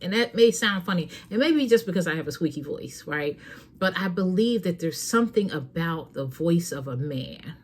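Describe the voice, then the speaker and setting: A woman's voice: on the low side, animated, moderate pace. A woman hosting a talk show, giving earnest, frank parenting advice straight to her listeners in a casual, preachy way. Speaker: host.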